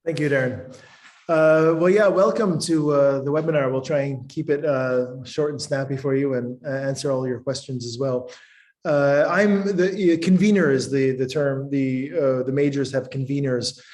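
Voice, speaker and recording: Middle-aged man from Canada, professor type, halting delivery, video-call audio. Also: mid-range voice, a little mellow. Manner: hesitant, many ums and restarts. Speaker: professor and programme convener. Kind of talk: webinar presentation.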